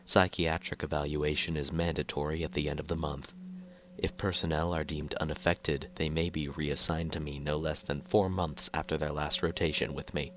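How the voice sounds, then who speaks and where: A man narrating scripted horror fiction in the first person, reading in a formal, measured way like an official document. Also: a bass voice, flat delivery, a little hiss or hum.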